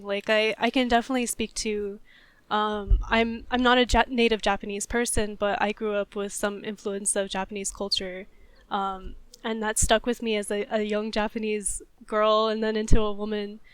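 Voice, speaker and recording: A woman's voice: medium pitch, fairly even intonation, normal speed, clean tone, no echo. A woman co-hosting a podcast, talking through a topic in a casual, analytical and thoughtful way. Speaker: podcast host.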